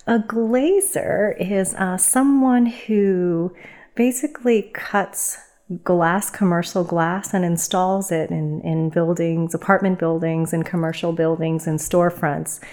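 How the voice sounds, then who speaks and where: A middle-aged woman from New York speaks as a podcast guest, her voice sitting a little low. She tells her story in an unhurried, reflective way. She circles back often, with plenty of fillers and self-corrections. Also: fairly even intonation, a little mellow. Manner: reflective, meandering, many fillers and restarts. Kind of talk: casual conversational storytelling.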